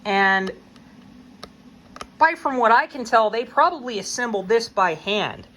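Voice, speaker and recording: Bright-voiced American man, folksy, over background noise. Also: extremely high pitch, lively intonation, a little slow. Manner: folksy, plain-spoken. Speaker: hobbyist video host. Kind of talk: casual unscripted explainer.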